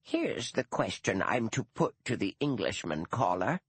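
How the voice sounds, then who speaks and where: A man voicing a stern, dour elderly woman, a retired schoolteacher who speaks slowly with prim, precise diction. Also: on the low side, sing-song, breathy edge, no echo, voice pushed up, exaggerated voice.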